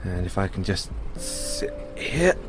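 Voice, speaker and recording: A kindly British man, played by an actor, chats to the android he rescued in an audio roleplay. His slightly low voice swings widely in pitch. He is gentle and hesitant, tripping lightly over his words as he fusses over his companion. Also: sing-song, slow pace, background noise, full character voice. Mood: friendly, cheerful. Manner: gentle, hesitant, stammering, chuckly. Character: kindly, chatty male owner of a rescued android. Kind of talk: audio roleplay addressed to the listener.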